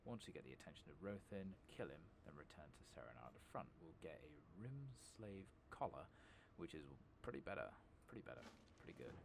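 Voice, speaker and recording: Deep, gravelly, soft-spoken gaming man. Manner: murmured, drifting in and out of whispers; casual, sweary, muttering to himself. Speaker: gaming streamer. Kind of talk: soft-spoken ASMR game commentary. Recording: clearly noisy background with clicking.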